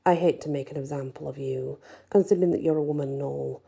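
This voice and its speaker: A woman reads as a bullying male werewolf alpha in a fantasy story. He talks bluntly and tauntingly, with a dark, creaky voice. Beneath the swagger he is cowardly. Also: low voice, steady tone, quick pace, exaggerated voice. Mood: threatening.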